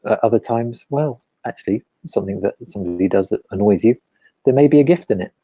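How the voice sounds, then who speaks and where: Mellow British male storyteller. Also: lowish voice, lively intonation, fast talker, slightly rough, no room sound. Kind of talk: oral storytelling.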